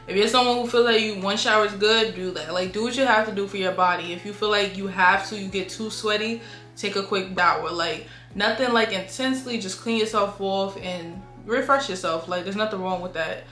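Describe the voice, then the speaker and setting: A woman's voice: mid-range voice, brisk, fairly bright tone, echoey room, faint music behind. A young woman giving frank, chatty advice straight to her viewers, full of "like" and "y'all". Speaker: beauty and lifestyle vlogger. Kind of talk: casual advice monologue to camera.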